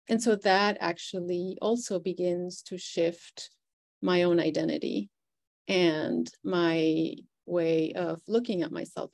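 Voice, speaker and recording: A woman's voice: on the low side, darkish tone. A woman from Venezuela, a native Spanish speaker with a Venezuelan Spanish accent, speaking reflectively as a poet on a panel.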